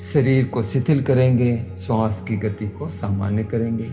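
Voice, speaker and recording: A man, a yoga instructor leading guided meditation in measured, repetitive instructions. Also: medium pitch, steady tone. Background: new-age music.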